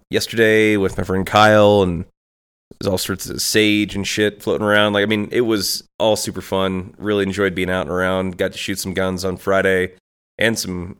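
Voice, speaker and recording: Podcast host, male, congested, deep smooth voice, rambling reflective solo talk. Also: a bit bright. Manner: rambling, thinking aloud with restarts and asides. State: congested from allergies.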